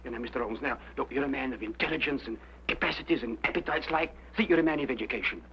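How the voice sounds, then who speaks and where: Man playing a frantic, fast-talking Cockney escape artist. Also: mid-range voice, a little hiss or hum, natural voice. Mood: indignant. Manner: rambling, repetitive, pleading. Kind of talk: old-time detective drama acting. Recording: dull, humming old broadcast recording.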